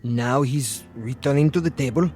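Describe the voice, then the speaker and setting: A man's voice: medium pitch, moderate pace, a little mellow, natural voice. Man playing a blunt, impatient young henchman. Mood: anxious, curious. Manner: terse, plain, questioning. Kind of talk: radio drama acting. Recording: radio drama with music underneath.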